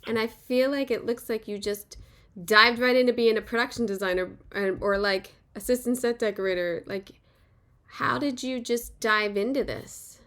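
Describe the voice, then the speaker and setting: A woman's voice: fairly high pitch, normal speed. Podcast host lady, chatty, lots of likes and laughs. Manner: chatty and warm-hearted, laughs easily, many fillers. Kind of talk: casual interview chat.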